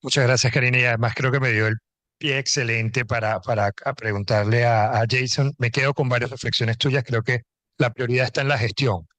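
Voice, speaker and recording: A man's voice: medium pitch, steady tone, fairly quick, a bit bright, no room sound. A man moderating a panel, articulate and posing long framing questions. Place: conference pavilion.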